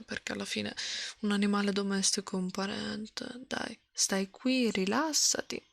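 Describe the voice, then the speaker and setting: A woman with an Italian accent speaking in a soft, breathy ASMR style that often slips toward a whisper. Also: on the high side, no echo. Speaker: ASMR livestreamer. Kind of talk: ASMR, soft storybook reading and chat with viewers.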